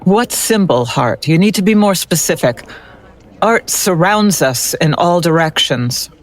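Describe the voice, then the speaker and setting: A woman's voice: lowish voice, lively intonation, measured pace. A woman playing a skeptical, no-nonsense forensic doctor who gives curt, sardonic replies. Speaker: forensic analyst.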